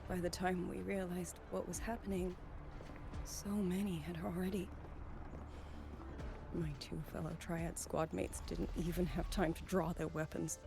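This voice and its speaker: An actress playing an earnest young woman soldier in a video game, speaking gravely and formally. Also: lowish voice, flat delivery, noisy background. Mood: grave, urgent. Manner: formal, grave, measured delivery. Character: earnest young woman soldier, last survivor of her squad. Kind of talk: dramatic video game voice acting. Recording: game music behind the voice.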